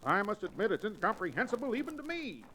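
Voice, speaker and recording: An actor playing a blustery old reprobate cowboy sidekick with pompous, florid diction in an old-time radio Western. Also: male voice, sits high, sing-song, quick pace, bright, forceful tone, heavily acted. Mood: puzzled indignation. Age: older. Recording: noisy vintage radio drama recording.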